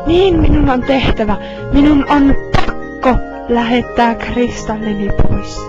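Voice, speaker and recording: A woman's voice: fairly high pitch, highs cut off, over music, close to an everyday voice. A woman voicing an anguished anime heroine in a melodramatic, pleading amateur fan dub. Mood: solemn resolve. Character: anguished anime heroine fighting off inner evil.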